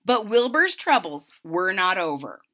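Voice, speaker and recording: A woman reading a picture book aloud to children in a dramatic, animated way, stopping to explain things. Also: mid-range voice, a little slow. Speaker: children's story reader. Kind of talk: expressive read-aloud with explanatory asides to children.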